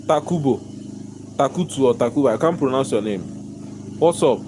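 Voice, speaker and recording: A man, hoarse from losing his voice, ranting in a vlog from inside his own shop. He repeats himself emphatically, stumbles over his words and keeps breaking into laughter. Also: mid-range voice, expressive, normal speed, background noise. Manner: repetitive, emphatic, stammering restarts, bursts of laughter. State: hoarse, lost voice. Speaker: shop owner vlogger.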